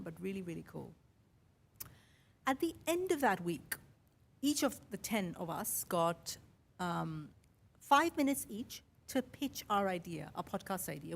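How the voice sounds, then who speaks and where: Chatty woman with an Indian accent. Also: mid-range voice. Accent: Indian English, Mumbai and Kerala with London influence. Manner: chatty, animated, quotes her own thoughts. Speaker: podcast host. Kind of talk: casual personal storytelling.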